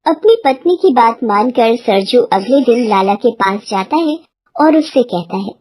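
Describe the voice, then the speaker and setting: A woman's voice: fairly high pitch, lively intonation, measured pace, darkish tone, breathy edge, no echo. A woman narrator reading a scripted moral tale for children in a storytelling style.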